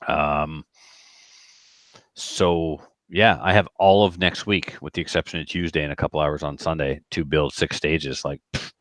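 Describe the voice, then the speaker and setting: A man with a low voice talks casually on a podcast. He rambles in everyday language and likes to act out what people said. The recording is dry and close, with little room sound. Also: fairly even intonation, unhurried, fairly bright tone, dry recording. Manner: rambling, colloquial, with acted-out quotes. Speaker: podcast speaker who organizes shooting matches.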